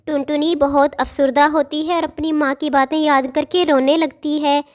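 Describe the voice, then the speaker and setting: High-pitched woman narrating a children's story. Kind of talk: scripted children's cartoon story narration in Hindi.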